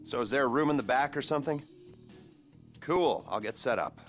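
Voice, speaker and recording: A man's voice: mid-range voice, close to an everyday voice. An actor playing a jittery, cagey male heist planner who gives sardonic, hedging mission briefings in a video game cutscene. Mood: cagey, hesitant. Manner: hesitant hedging mixed with brisk, sardonic briefing. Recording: music behind the voice.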